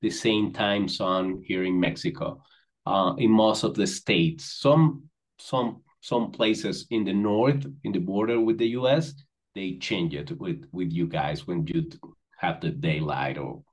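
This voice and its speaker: A man speaks in a fairly low voice over a computer mic on a video call. His talk is friendly and loose, full of fillers and restarts as he chats in English. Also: unhurried. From Venezuela. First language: Spanish. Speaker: company managing director, guest. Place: indoors with virtual backdrop.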